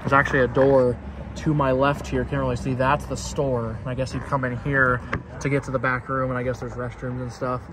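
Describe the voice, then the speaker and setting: A man's voice: medium pitch, little pitch movement, a little fast. A young man giving casual, rambling walk-through commentary as an urban explorer vlogger. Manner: chatty, rambling asides. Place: outdoors by an empty store building. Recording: outdoor noise on a handheld mic.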